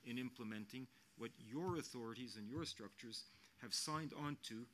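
An older man speaks earnestly into a desk microphone at a panel, his voice bright and forceful. He picks his words with care and often restarts mid-sentence. A faint hum of the hall sits behind him. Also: medium pitch. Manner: measured, earnest, with frequent restarts and fillers. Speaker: international mission official on a panel. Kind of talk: unscripted panel remarks. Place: press center hall.

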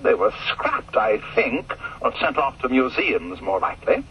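A man's voice: medium pitch, very animated, measured pace, vocal fry, slight background noise. A man voicing curt male RAF officers heard over a telephone line in a radio story, speaking in a clipped, officious way. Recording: muffled distant phone-line sound.

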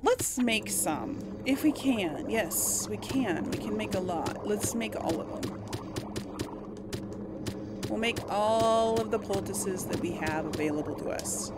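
A woman giving casual, expressive gameplay commentary, reacting aloud and talking to the game's characters. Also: mid-range voice, slow. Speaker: gamer narrating a role-play let's play. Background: game music behind the voice.

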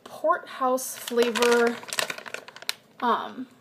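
Young woman, high voice, casual kitchen vlog on a webcam, chatty, faint hiss. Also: very slow. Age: young adult. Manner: chatty, reads out nutrition numbers in a flat list. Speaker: vlogger. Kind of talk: casual grocery haul vlog. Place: home kitchen, indoors. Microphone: built-in webcam mic at desk distance.

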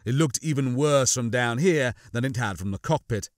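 A man's voice: medium pitch, moderate pace, no echo, clean studio sound. A man giving a dramatic scripted narration of a science fiction audiobook.

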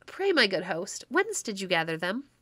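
A woman's voice: mid-range voice, very animated, moderate pace, a bit bright, no room sound. A woman voicing a sly, playful male traveller with courtly, whimsical storybook speech. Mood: polite, pleased.

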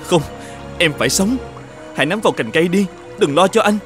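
A man's voice: high voice, lively intonation, brisk, a bit bright, faint music behind. An actor playing an earnest, self-sacrificing young man in love in a cartoon folk tale, speaking with urgency. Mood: tender, pleading.

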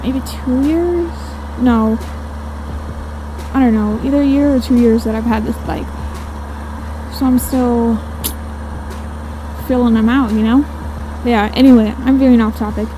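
A woman's voice: fairly high pitch, unhurried, long pauses. A woman in her twenties chatting casually and rambling while riding a motorcycle, with a giggly, friendly manner. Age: 20s. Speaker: motovlogger. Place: outdoors on a moving motorcycle. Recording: wind and engine noise.

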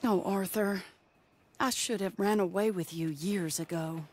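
Woman playing a slow-spoken genteel American lady. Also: medium pitch, close to an everyday voice. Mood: reproving, regretful. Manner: proper, pleading, gently reproving. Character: genteel, earnest, troubled young lady of the Old West. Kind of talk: video game cutscene dialogue.

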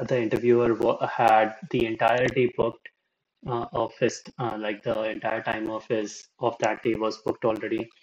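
Young man, hesitant casual talk, flat delivery, slightly dull lo-fi sound, faint hiss. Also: mid-range voice. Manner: hesitant, many fillers and restarts. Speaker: streamer. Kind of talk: casual chat. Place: small room indoors. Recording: slightly dull lo-fi stream audio with faint noise.

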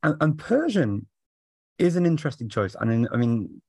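A man's voice: mid-range voice, big pitch swings, moderate pace, vocal fry, mellow, breathy edge, dry recording, studio quality. A middle-aged man asking follow-up questions in a casual video call, with hedging fillers. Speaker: interviewer. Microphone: webcam video call.

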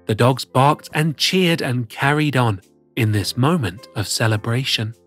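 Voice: medium pitch, lively intonation, a little slow, a bit bright, soft background music. A performer voicing a cheerful plush cat who reads picture books to children in a theatrical, sing-song way. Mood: storytelling. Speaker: storyteller host. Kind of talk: expressive children's picture-book read-aloud.

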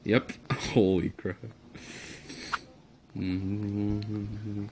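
Slow, low-voiced young man joking through gameplay. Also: completely flat delivery, very noisy. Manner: rambling, jokey, mumbles through on-screen text. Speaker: gameplay video maker. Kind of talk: casual gameplay commentary.

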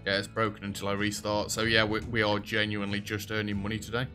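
A young British man with a rather deep voice, chatting casually over a game as host and joking with the characters on screen. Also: completely flat delivery, quick pace, sharp tone, soft background music. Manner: chatty, self-deprecating, talks to game characters. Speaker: gaming video host. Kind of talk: casual let's-play commentary. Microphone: headset.

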